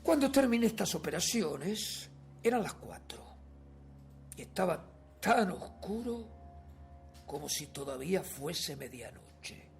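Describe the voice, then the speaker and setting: A Uruguayan actor playing a nervous, obsessive murderer who insists he is sane, in a theatrical, drawn-out radio drama reading that swings between hushed and forceful. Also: high voice, sing-song, vocal fry, slight background noise, full character voice. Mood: smug, controlled. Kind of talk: dramatic first-person horror story reading, radio theatre acting.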